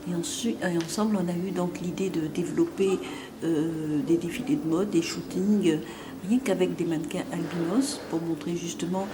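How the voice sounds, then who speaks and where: A woman who is a fashion designer, speaking in a measured, halting way with frequent fillers in an interview. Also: fairly low pitch, steady tone, background noise. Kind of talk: testimonial interview.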